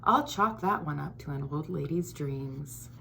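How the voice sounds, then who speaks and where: Wistful old great-aunt from a picture book, read by a lady, gentle and rueful, a bit gravelly. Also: low-pitched, animated, a little slow, slight background noise, pitched below the performer's own voice, full character voice. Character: wistful elderly great-great-aunt, former airplane builder.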